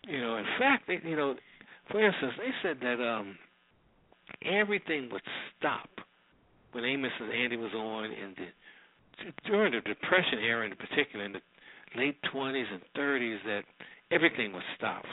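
A man's voice: mid-range voice, animated, normal speed. Male radio host, casual and rambling, repeats himself for emphasis; dry-free roomy sound on a narrow phone-like line.

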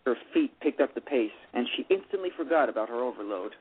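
A man's voice: mid-range voice, animated, fast talker, husky. A man narrating a story, reading aloud and often stumbling over and repeating words. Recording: tinny phone line with faint music.

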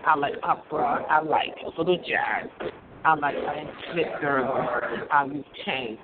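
A hoarse speaker with a cold, hosting an online radio show in a rambling monologue that repeats phrases and breaks into laughs. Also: medium pitch, measured pace. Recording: phone-like line with loud crowd noise.

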